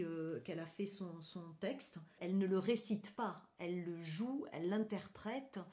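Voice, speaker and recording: Mellow-voiced woman guest, effusive. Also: mid-range voice. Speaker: guest, graphic artist presenting a gallery event. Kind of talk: spoken radio interview, explanatory.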